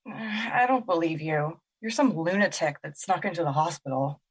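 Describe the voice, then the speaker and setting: A woman's voice: on the low side, lively intonation, normal speed, husky. A woman playing a skeptical, bitter young girl in an audio drama, emotional and sardonic.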